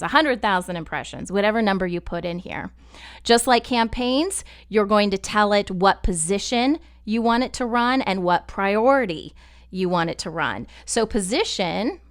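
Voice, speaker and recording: Clear-voiced woman explaining software. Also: mid-range voice. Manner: conversational, step-by-step. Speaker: podcast network instructor. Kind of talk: screen-share software tutorial, explanatory.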